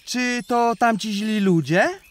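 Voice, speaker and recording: A woman's voice: a little high, slow pace. A woman voicing a small, curious young hare child in a children's audio drama.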